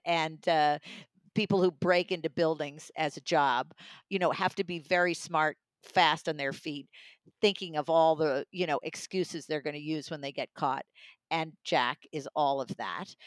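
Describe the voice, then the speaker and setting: Low-voiced woman reviewing books, dry sound. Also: dry recording. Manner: enthusiastic, emphatic. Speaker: audiobook reviewer. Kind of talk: conversational book review.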